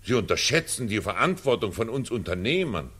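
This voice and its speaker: An actor playing a pompous, evasive cabaret director in a 1940s radio drama, talking in a condescending, theatrical, patronizing way. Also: male voice, on the low side, sing-song, brisk, fairly bright tone, slightly rough, heavily acted.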